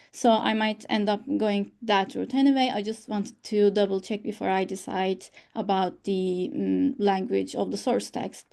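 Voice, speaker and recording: A woman whose first language is Turkish asks a careful question over a video call. Her voice is mellow and soft, and she speaks politely. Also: medium pitch. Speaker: applicant.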